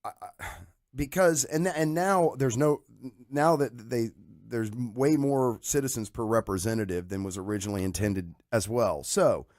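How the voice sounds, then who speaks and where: Male podcast host, average pitch, dry and echo-free, informal conversational delivery, works out thoughts aloud. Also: moderate pace, darkish tone. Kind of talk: casual conversational discussion.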